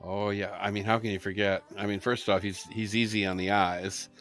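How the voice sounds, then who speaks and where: Bright-voiced, crude, profane man. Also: lowish voice, level tone, brisk, soft background music. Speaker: co-host. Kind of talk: casual chat.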